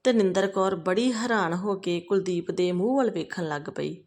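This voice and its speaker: A woman's voice: on the low side, moderate pace, a little mellow. A woman reading a scripted family moral story as a storyteller.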